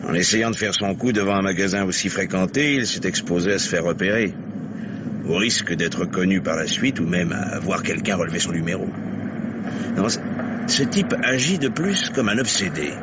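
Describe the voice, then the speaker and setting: Deep-voiced, probing police lieutenant. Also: male voice, lively intonation, normal speed. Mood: deliberate, insistent. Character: probing, methodical male police lieutenant, mature and dogged. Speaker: police investigator. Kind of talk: French radio mystery play acting. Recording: dull, noisy vintage radio recording.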